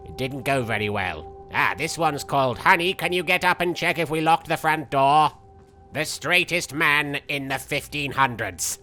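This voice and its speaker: A man's voice: on the high side, lively intonation, moderate pace, bright, forceful tone, faint music behind. A man voicing a cocky, flamboyant young anime villain who makes vain, petulant quips as in-character game commentary. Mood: mocking, amused. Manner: theatrical, vain, quippy. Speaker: in-character let's player.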